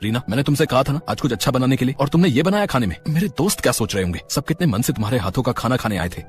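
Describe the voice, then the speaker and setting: A man's voice: mid-range voice, animated, very fast, lightly acted. A man voicing an exasperated young husband in a cartoon moral story, reproachful and scolding, with music behind him.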